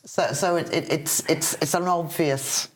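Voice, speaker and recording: A woman's voice: low-pitched, steady tone, unhurried. A woman co-presenting a poetry show, who stumbles and repeats words as she asks questions. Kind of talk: casual chat.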